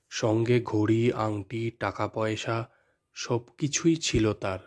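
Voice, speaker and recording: A man narrating a village tale in a slow, measured storytelling manner. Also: medium pitch, a little mellow.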